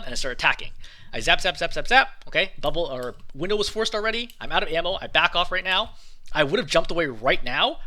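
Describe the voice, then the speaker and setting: A man breaks down his own gameplay like a coach, sounding a bit hoarse. He talks quickly with a bright, forceful edge, and his pitch jumps around as he explains each move. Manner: quick, animated explaining over footage. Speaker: game coach. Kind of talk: gameplay commentary.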